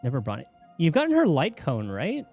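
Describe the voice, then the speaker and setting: Animated man chatting on a game stream. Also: a little high, fairly quick, faint music behind. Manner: rambling, thinking aloud, mild swearing. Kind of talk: casual livestream chat while gaming.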